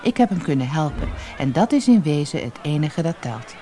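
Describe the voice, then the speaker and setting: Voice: medium pitch, sing-song, fairly quick, warm tone, close to an everyday voice. A storybook narrator voicing a kind, generous male merchant who is polite, curious and solicitous. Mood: resigned, content. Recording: noisy old cassette audiobook transfer.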